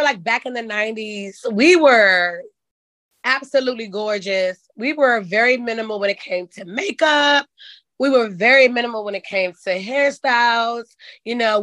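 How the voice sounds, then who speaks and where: Hoarse woman hosting a chat show. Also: on the high side, fairly bright tone, dry recording. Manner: folksy and candid, says "child". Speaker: podcast host. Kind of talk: casual chat.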